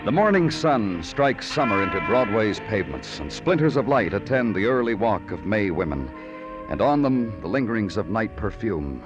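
An actor playing a world-weary, poetic police detective in an old-time radio drama, terse and measured. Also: male voice, fairly low pitch, very animated. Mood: brooding, lyrical. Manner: terse, hard-boiled, measured. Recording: muffled vintage radio recording with music.

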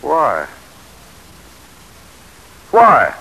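Ambitious statesman, radio drama, animated pitch, husky edge, passionate, theatrical, vintage recording. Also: drawn-out words, full character voice, low-quality recording. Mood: indignant. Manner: theatrical, impassioned, oratorical. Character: proud, ambitious 19th-century American statesman, passionate and embittered. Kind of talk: old-time radio drama acting.

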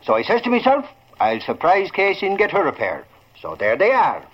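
High-pitched blustery reporter. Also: male voice, wildly swooping pitch, a little fast, heavily acted. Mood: cheerful, boastful. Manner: blustery, exclamatory. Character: blustering Irish-American newspaper reporter, good-hearted and excitable. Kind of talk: 1940s radio acting. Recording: dull vintage radio recording.

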